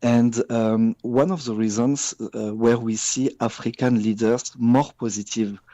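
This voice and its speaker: A man from France with a French accent, answering a radio business interview in measured English with frequent hesitations and restarts. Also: medium pitch, fairly even intonation, dark tone, no room sound. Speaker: business guest.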